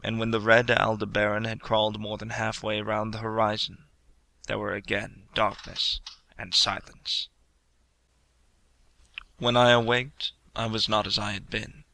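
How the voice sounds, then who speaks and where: Gravelly, creaky man narrating solemn fiction. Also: lowish voice, little pitch movement, bright, forceful tone, no room sound, rough recording. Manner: solemn, measured, dramatic storytelling. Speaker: audiobook reader. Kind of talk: scripted literary narration.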